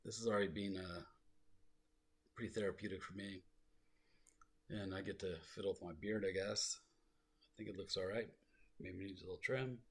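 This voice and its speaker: A man rambling through his own life story in a soft, low voice he calls soothing, full of fillers and asides. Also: husky. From South Lake Tahoe, California. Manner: rambling, self-deprecating, full of fillers and digressions.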